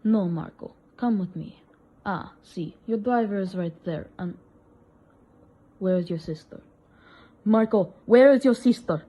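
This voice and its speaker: A woman's voice: medium pitch, a little slow, pauses often, warm tone, lightly acted. A woman playing a hot-tempered, grieving Russian male butler in an amateur radio play, emphatic and dramatic. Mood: gentle.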